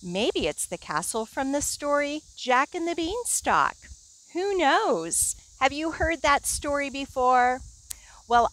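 High-voiced, animated woman hosting children's storytime. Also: rough recording. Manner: singsong, addressing young children. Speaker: children's librarian, storytime host. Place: outdoors in a library garden.

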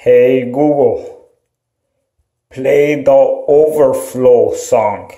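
Very slow-talking man in an echoey bathroom. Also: mid-range voice. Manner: slow, flat, deliberate commands. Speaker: video creator. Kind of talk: repeated voice commands. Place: small tiled bathroom.

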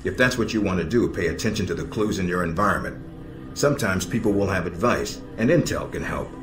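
An actor playing a calm, sardonic middle-aged spy handler in a video game, measured and dryly blunt. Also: male voice, low-pitched. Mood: measured, instructive. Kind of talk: video game cutscene acting. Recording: roomy echo with music behind.

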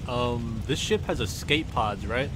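A man's voice: medium pitch, a little slow, background noise. A cocky, foul-mouthed man performing comedic in-character commentary over game footage. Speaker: parody dub performer voicing a game protagonist.

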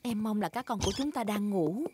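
A woman's voice: medium pitch, animated, fairly quick, very noisy, lightly acted. A woman voicing a cheerful, reassuring mother pig in a southern Vietnamese cartoon dub. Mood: hopeful.

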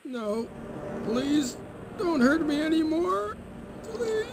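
Drugged scared captive, slow halting pleading voice, groans, music behind. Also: male voice, high-pitched, expressive, exaggerated voice. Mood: pained, pleading. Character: drugged, frightened, suffering male captive in asylum. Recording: game audio with music behind.

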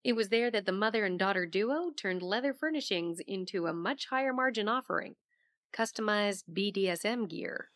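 A woman playing an inquisitive investigative reporter, recounting her case as measured scripted audio drama narration. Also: mid-range voice, lively intonation, a bit bright, no room sound, studio quality, close to an everyday voice. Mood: measured, intrigued. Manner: measured, storytelling.

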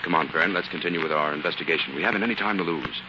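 A man's voice: deep voice, very fast, natural voice. A man with an American accent playing a level-headed, inquisitive detective in a 1940s radio drama. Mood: thoughtful, businesslike. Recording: muffled, noisy 1940s radio recording.

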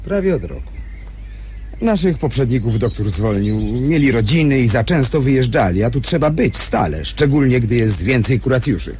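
An actor playing an obliging, plain-spoken sanatorium gardener in a radio drama, folksy and matter-of-fact. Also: male voice, mid-range voice, big pitch swings, moderate pace, darkish tone, slight background noise, close to an everyday voice. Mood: chatty.

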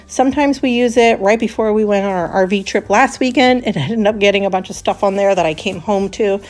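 A woman in her thirties chatting through a voice-over for her home cleaning vlog, relaxed and friendly, with the odd small laugh. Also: medium pitch, a little fast. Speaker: homemaking vlogger.

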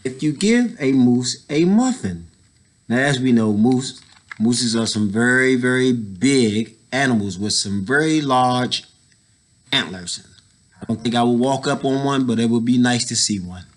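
A man in his thirties reading a children's picture book aloud, pausing to add casual explanatory asides for young listeners. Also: mid-range voice, expressive. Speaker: story time reader, educator. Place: indoors, school library.

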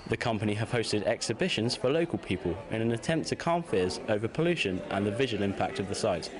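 A man's voice: fairly low pitch, animated, quick pace, a crowd in the background. A man reading a scripted news report as a reporter.

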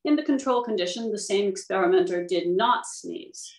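A woman's voice: mid-range voice, animated, normal speed, darkish tone. A woman speaking formally as a reader of research papers, on roomy video-call audio. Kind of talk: formal reading aloud and panel questions. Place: indoor room on a video call, using a tablet.

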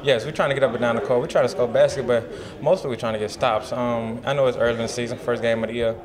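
A man's voice: medium pitch, fairly even intonation, quick pace. A man in his early twenties, a college basketball player, answering in a postgame interview. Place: indoors before a team backdrop. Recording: clear crowd noise behind.

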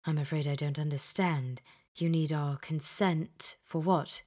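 A woman voicing a reserved, formal, old-fashioned gentleman who speaks quietly. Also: steady tone, unhurried. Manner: formal, quiet, low-key.

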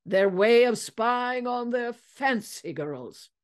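A woman's voice: on the high side, animated, slow pace, voice pushed up. A blustering hotel keeper , voiced by a woman reader, proud and exclamatory. He talks in colorful slang, as a larger-than-life man in a storybook. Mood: offended, amused.

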